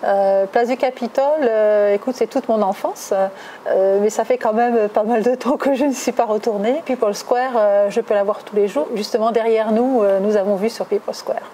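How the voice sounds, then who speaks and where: A woman's voice: medium pitch, fairly even intonation, normal speed, warm tone, a little hiss or hum. A middle-aged woman from southwest France answering interview questions conversationally, with many hesitations and self-corrections. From Toulouse, southwest France. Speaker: interviewee, executive recruiter.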